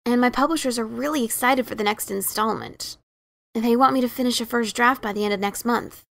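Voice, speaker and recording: An adventurous pegasus pony author, played by a woman in a fan audio drama. The voice is high and forceful with a crackly edge. She is emphatic and defensive, and stumbles when things get awkward. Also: a little fast, creaky. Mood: uneasy, explaining. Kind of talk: fan dramatic reading of a story.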